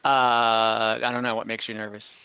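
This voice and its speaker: A man improvising narration as game master of an online tabletop game, haltingly searching for words. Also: fairly low pitch, flat delivery, smooth, no room sound, dull audio.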